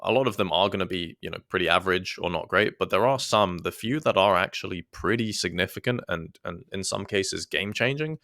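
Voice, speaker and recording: A man's voice: deep voice, fairly quick, few pauses, bright, forceful tone, dry recording, clean studio sound. A man who is a guest on a podcast, talking in a thoughtful, hesitant way with many restarts. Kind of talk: casual podcast conversation.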